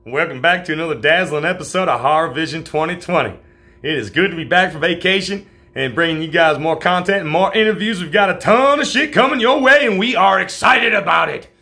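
Male host, 40s, loud and hyped, bright forceful tone, casual swearing. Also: high voice, fairly quick. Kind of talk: energetic show intro. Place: home room, solo on camera.

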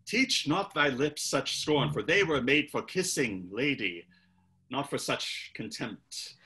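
A man's voice: mid-range voice, animated, slow pace, sharp tone. A man playing a passionate, hot-tempered young Elizabethan playwright who declaims archaic verse. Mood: pleading. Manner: declaims archaic verse, swings to furious hissing. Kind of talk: audio drama acting.

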